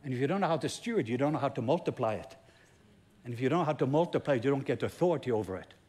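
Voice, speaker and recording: A man's voice: medium pitch, lively intonation, very fast, a little mellow, husky. A Norwegian man, a pastor speaking English as his second language, tells a testimony in a sermon with emphatic, repeating build-ups. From Norway.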